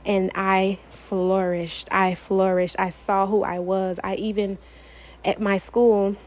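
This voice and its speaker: A woman's voice: mid-range voice, flat delivery, slow pace, a little mellow. A young woman from Florida, sharing her personal testimony in an earnest, emphatic way, repeating phrases to drive them home. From United States, Florida.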